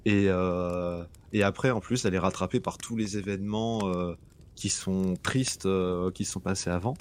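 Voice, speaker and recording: A man's voice: deep voice, steady tone, moderate pace, a little mellow, a little hiss or hum. A man co-hosting a casual gaming podcast chat, talking effusively and gushing with laughter. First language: bilingual French and English. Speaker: podcast co-host.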